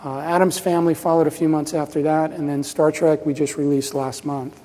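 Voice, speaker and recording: A man's voice: on the high side, little pitch movement, fairly quick, dark tone. A man in his fifties giving a casual, rambling presentation at a pinball festival, full of fillers and restarts. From New Jersey, USA. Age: 50s. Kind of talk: conference talk. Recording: reverberant hall.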